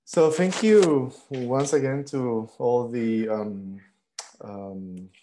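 A man's voice: medium pitch, extremely slow, dark tone, a little hiss or hum, poor audio. A man from Peru in his thirties giving an online lecture over a headset on a video call, hesitant with many fillers and restarts. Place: living room at home.

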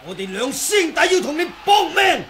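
An actor playing a haughty, indignant martial arts sect elder, declaiming combatively in a 1980s radio drama. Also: male voice, very high-pitched, sing-song, brisk, sharp tone, shouting, a faint crowd, heavily acted. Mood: haughty, threatening. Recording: hissy, noisy old radio drama recording.

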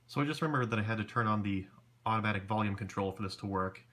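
A man with a fairly low voice presents a hobby project in an informal, unscripted way. He sounds matter-of-fact and talks casually, as in a workbench demonstration recorded indoors. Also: racing, sharp tone.

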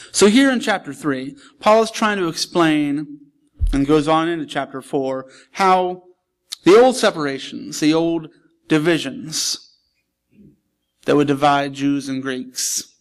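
A man preaching a sermon in a church, explaining scripture in a conversational, plainspoken way. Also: a little high. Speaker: pastor. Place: church sanctuary.

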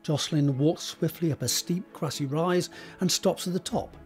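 A man's voice: fairly high pitch, moderate pace, faint music behind. A man narrating stage directions for an audio drama in a scripted reading style.

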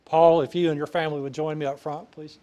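An older man presides over a formal ceremony in a large council chamber. His voice sits slightly high for a man, and he speaks into a stand microphone in a folksy, official way. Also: little pitch movement, quick pace, dark tone. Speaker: official presenting a promotion and administering an oath. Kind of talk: public ceremony address. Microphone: stand microphone, sometimes stepping away.

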